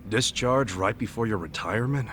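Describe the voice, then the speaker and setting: A man's voice: on the low side, moderate pace, fairly bright tone, faint music behind, close to an everyday voice. An actor playing a loyal, earnest, streetwise ex-yakuza man with an American accent and casual slangy talk, in a video game dub. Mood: concerned, curious. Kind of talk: English video game dub acting, conversational.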